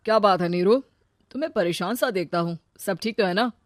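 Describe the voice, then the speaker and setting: A woman voicing a smooth-talking, self-assured young man in a family story, direct and persuasive. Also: mid-range voice, fairly quick, no room sound, clean studio sound, natural voice. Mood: concerned.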